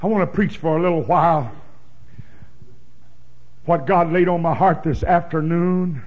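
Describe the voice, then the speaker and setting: A man preaching a sermon, reading scripture in a big booming voice and breaking into fervent shouts. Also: unhurried, narrow, tinny audio. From Oklahoma. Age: 60s. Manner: fervent, emphatic pulpit delivery with sudden shouts.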